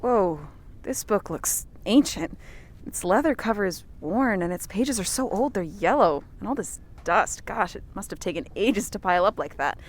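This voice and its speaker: A woman's voice: mid-range voice, animated, normal speed, lightly acted. A woman playing an earnest, easily outraged reporter who speaks emphatically in an audio drama. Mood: awed, curious. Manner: emphatic, righteous, wordy.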